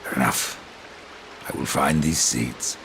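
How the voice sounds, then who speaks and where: A man playing a grim undead horseman in a video game, gravelly, terse and deadpan. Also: extremely low pitch, slow, a little hiss or hum, full character voice. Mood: determined. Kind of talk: video game cutscene acting. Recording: music behind the voice.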